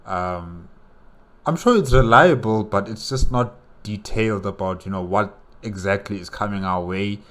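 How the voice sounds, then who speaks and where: A man's voice: fairly low pitch, moderate pace, a bit bright. A man hosting a reaction, commenting casually and conversationally as he muses aloud. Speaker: reaction host.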